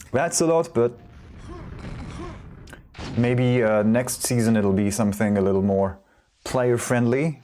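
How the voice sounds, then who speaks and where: A man casting a competitive video game match solo, chatty and relaxed, often correcting himself. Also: mid-range voice, slight background noise. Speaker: esports caster. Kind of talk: solo play-by-play commentary.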